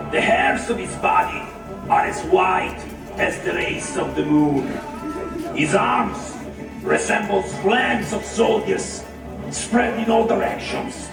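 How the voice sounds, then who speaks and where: Wildly swooping, gravelly demon king. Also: high-pitched, full character voice. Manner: theatrical, drawn-out delivery with wild swoops. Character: arrogant, fearful male demon king sizing up his foe. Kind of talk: puppet show acting. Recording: echoey, distant, music behind.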